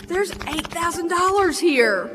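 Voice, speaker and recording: An actress playing a principled, outspoken state bank examiner in a stage radio play, forthright and indignant. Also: female voice, extremely high pitch, animated, measured pace, a bit bright, exaggerated voice. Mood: suspicious. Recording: reverberant theatre hall, music in recording.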